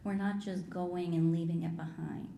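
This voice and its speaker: A woman with a fairly low, mellow voice presents in a measured, explanatory way, like a teacher at a meeting. The room is echoey, with faint noise. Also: steady tone, vocal fry. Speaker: high school Spanish teacher. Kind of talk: prepared public presentation. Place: public school committee meeting. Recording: roomy meeting hall, faint rumbling noise.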